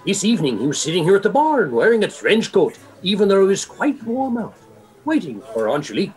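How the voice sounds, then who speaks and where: An actor playing a retired, gossipy nightclub regular, speaking in a deliberate, knowing way. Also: male voice, high voice, big pitch swings, a little mellow, soft background music. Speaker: actor in community theatre radio play. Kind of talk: theatrical radio play dialogue.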